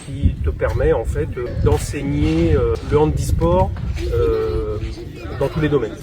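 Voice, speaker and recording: A man's voice: medium pitch, unhurried. 40s guy, lots of ums, recorded outside, heavy city noise. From France. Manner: hesitant, many fillers and restarts. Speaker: interview guest, wheelchair tennis player and coach. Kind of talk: casual interview answers. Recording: distant mic, loud outdoor street noise.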